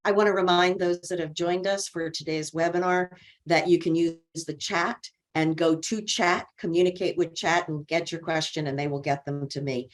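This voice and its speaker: A woman hosting a webinar interview, asking questions in a warm, encouraging way. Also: lowish voice, steady tone, normal speed. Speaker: host.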